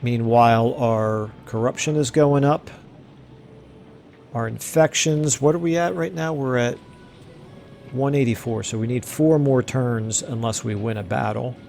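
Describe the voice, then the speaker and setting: A man commentating his strategy game play casually, thinking aloud with fillers, his voice going hoarse. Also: mid-range voice, measured pace, a little mellow, a little hiss or hum. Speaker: let's play host.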